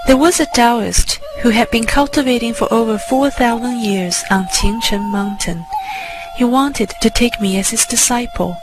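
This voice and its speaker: A woman narrator with a slightly high voice tells a first-person story in a measured, scripted way. Music plays clearly behind her throughout. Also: low-quality recording. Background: music under the voice.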